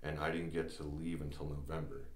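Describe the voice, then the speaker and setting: Man, 30s, very deep voice, relaxed interview guest, echoey studio. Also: brisk. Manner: relaxed, many fillers, laughs while telling stories. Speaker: podcast guest, former Green Beret. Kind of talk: casual interview storytelling. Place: small studio set at a table.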